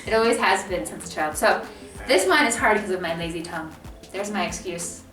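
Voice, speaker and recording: A woman's voice: on the low side, lively intonation, brisk, fairly bright tone. Chatty young American woman in an echoey room. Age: young adult. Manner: chatty, laughs often, many "like" fillers. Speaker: co-host. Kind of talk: casual chat. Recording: echoey room, mic at a distance, music behind.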